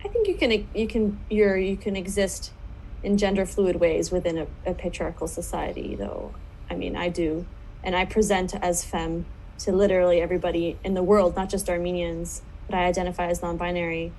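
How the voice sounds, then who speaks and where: A woman speaking on a panel over a video call, chatty and quick, with many likes and ums. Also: on the low side, fairly even intonation, darkish tone, slight background noise, rough recording. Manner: quick, chatty, many fillers, laughing asides. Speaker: panelist writer. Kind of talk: panel discussion.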